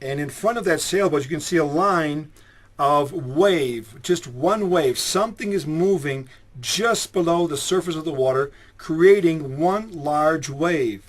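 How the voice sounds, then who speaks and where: An earnest believer in lake monsters voiced by a man who lays out his claims patiently. His voice sits a bit higher than usual. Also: measured pace.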